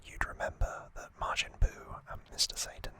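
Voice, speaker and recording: An ASMR host speaking in a breathy whisper, giving a soft, unhurried, casual recap with conversational asides.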